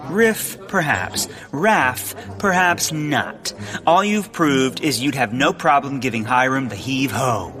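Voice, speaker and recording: An actor playing an earnest, bumbling amateur sleuth in a comedic period audio drama, full of awkward bravado. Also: male voice, medium pitch, takes it slowly, sharp tone, soft background music. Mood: mock-brave.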